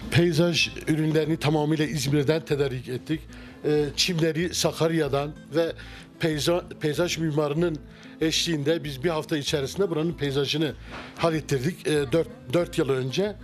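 Hesitant middle-aged man, slightly high voice. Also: fairly even intonation, moderate pace, faint music behind. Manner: hesitant, many fillers and restarts. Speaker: restaurant owner. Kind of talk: casual interview. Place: wood-paneled restaurant interior. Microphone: handheld mic held by interviewer.

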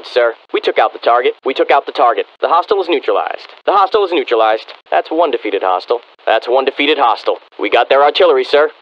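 An actor playing a disciplined American infantry soldier in a military video game, barking clipped, forceful reports to his commander. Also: medium pitch, highs cut off, lightly acted.

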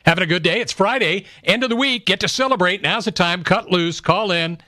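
A man's voice: sits high, a little fast, pushed and bright, no room sound. American man hosting rock radio, dry sound. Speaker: radio DJ and show host. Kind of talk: classic rock radio DJ patter. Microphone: close studio broadcast microphone.

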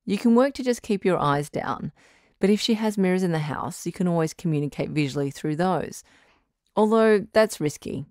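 A woman's voice: fairly low pitch, lively intonation, normal speed, a little mellow, no echo, clean studio sound, close to an everyday voice. A woman playing a frank, reassuring doctor who hosts a radio call-in advice show, encouraging and matter-of-fact in a conversational style. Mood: reassuring, practical.

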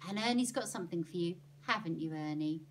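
A woman's voice: fairly low pitch, brisk, slight background noise, lightly acted. A woman voicing a bossy, no-nonsense aunt with a firm, commanding manner. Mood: brisk. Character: bossy, no-nonsense aunt who lays down rules.

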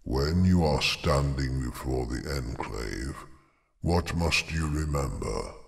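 A man's voice: extremely low pitch, lively intonation, slow, creaky, long reverb tail, pitched below the performer's own voice, full character voice. A man voicing a stern old male dragon who drills his pupil with clipped questions.

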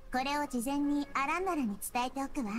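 A woman voicing a kind, wise childlike goddess in a Japanese anime game, speaking in a soft, composed, explanatory manner. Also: high voice, brisk, faint music behind, lightly acted. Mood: helpful. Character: gentle, wise childlike girl deity, calm and kind.

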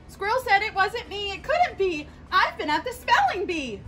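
Storybook squirrel read by a woman: female, innocent, protesting, high and animated. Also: normal speed, soft background music, exaggerated voice. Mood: defensive.

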